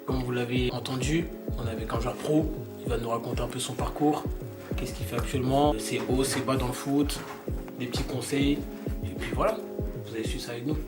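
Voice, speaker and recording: A man's voice: mid-range voice, fairly even intonation, brisk. Echoey male interviewer over music. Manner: frequent short backchannels and follow-up questions. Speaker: interviewer, host. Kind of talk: casual interview questions. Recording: echoey room with music behind.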